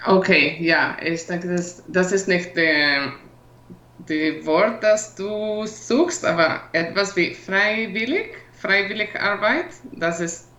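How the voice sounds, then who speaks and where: A woman's voice: lowish voice, slow pace, fairly bright tone. A woman speaking as a non-native learner with a foreign accent, chatting casually and searching for words. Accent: non-native, foreign-accented German. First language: not German; learned it as a foreign language. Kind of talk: casual language-practice chat. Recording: echoey room, muffled audio.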